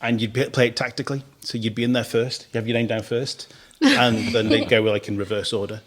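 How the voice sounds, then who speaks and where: A man's voice: medium pitch, steady tone, fairly quick. A middle-aged man hosting a theatre podcast, chatting casually and restarting on repeated words. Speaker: podcast host. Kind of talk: casual radio interview chat.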